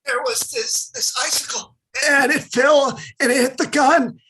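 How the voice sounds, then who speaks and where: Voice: high voice, steady tone, unhurried, pushed and bright, slight background noise. A performer playing a frightened young boy fibbing through tears, stammering and repeating himself in a comic readers theatre. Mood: tearful, pleading. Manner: stammering, breathless repetition.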